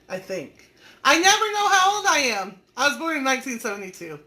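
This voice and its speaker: A woman's voice: high voice, sing-song, moderate pace, pushed and bright. A woman, hosting a live stream, chatting folksily and teasing viewers by name as she reads their comments. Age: 40s. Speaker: online business community host.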